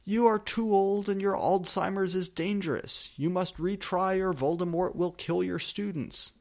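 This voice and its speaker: A furious, indignant official acted out by a man in a comic story reading. The voice is pushed high and strident, yelling each accusation with outraged emphasis. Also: normal speed, gravelly. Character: shrill, furious government official shouting accusations.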